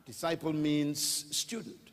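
High-voiced Bahamian preacher in a reverberant hall. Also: male voice, unhurried. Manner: preaching with call-and-response, rising to shouts. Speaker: pastor. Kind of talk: sermon. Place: large auditorium stage. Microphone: handheld mic.

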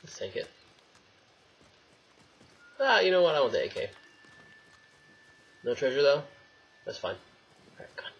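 A man's voice: mid-range voice, very animated, unhurried, pauses often, husky, dull audio. A young man giving casual, wry gameplay commentary, swearing and talking to the game's characters. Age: young adult. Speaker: gamer, let's play host. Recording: noisy, game sound behind voice.

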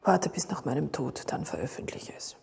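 A stern, ageing empress and mother in a historical docudrama. Her voice is creaky and rings in a reverberant room, with music faintly behind. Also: low-pitched, normal speed, a little mellow, in a whisper. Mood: weary, hushed. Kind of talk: docudrama re-enactment.

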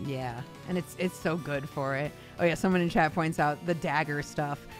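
A woman voice actor hosting a casual question-and-answer chat in an expressive, conversational way. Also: low voice, normal speed, faint music behind.

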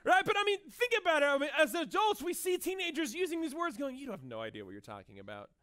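A man with a notably high voice preaches from a church stage. He talks in an easy, conversational way, often checking in with "right?", and gets loud and lively at his high points before dropping soft again. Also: fast talker. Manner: animated, conversational preaching with frequent "right?" asides. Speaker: pastor. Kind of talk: sermon. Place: church stage with band gear.

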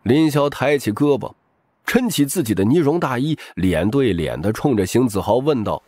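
A man's voice: mid-range voice, lively intonation, moderate pace, a little mellow, no room sound. A man narrating a novel as a dramatic, scripted audiobook.

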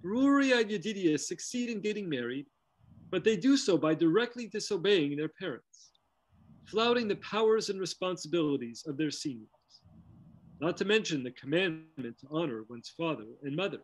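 A man's voice: high-pitched, animated, moderate pace, low-quality recording. A middle-aged man giving scripted scholarly narration over a video call. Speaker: lecturer and presenter. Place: indoor room with bookshelves, on video call.

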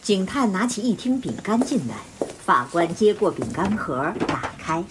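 A woman's voice: medium pitch, sing-song, moderate pace, noisy background. A woman narrating a scripted radio drama as the storyteller. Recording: music behind voice.

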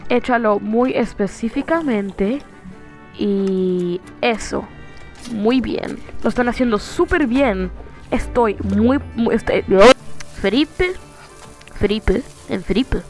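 A woman's voice: on the high side, slow pace, long pauses, a little mellow, faint music behind. A woman playing friendly, easygoing cartoon characters in an amateur dub, with a casual, playful manner.

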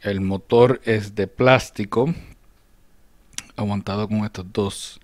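A man narrating a casual hands-on demonstration as a channel host, often halting to search for words. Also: fairly low pitch, steady tone, no echo. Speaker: host of a diecast restoration channel. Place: indoors at a hobby table.

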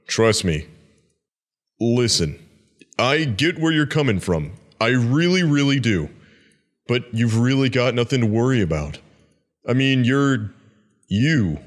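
Man voicing a slow, laid-back skeleton. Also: medium pitch. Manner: casual, easygoing, halting. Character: laid-back, kindly skeleton big brother. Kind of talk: comic dub character acting.

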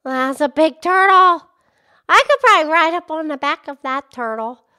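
A woman voicing an excitable, childlike pig puppet with an eager, chatty, exclamatory manner in a children's puppet show. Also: high voice, moderate pace. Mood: excited, curious. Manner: eager, exclamatory, repeats phrases.

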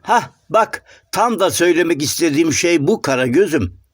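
A man voicing a polite, chatty, eager gentleman in a children's cartoon, courteous and coaxing. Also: on the high side, animated, moderate pace, dry recording, studio quality. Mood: cheerful. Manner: courteous, coaxing, sing-song explaining. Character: polite, chatty, eager, well-mannered male shadow-puppet gentleman.

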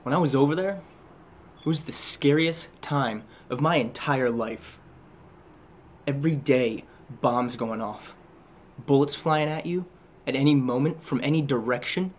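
A man performing a dramatic monologue as a haunted, guilt-ridden soldier back from war, halting and intense, with heavy pauses. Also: medium pitch, a little hiss or hum, close to an everyday voice. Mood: agitated, haunted.

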